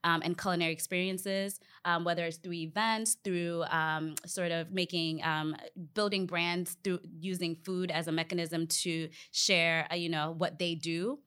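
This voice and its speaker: A woman's voice: lowish voice, fairly even intonation, normal speed, few pauses, a bit bright, clean tone. A woman born in Ghana and raised in the US, talking earnestly and explaining things as a podcast guest. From born in Ghana, grew up in Northern Virginia, USA. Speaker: podcast guest, company co-founder. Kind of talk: conversational podcast talk.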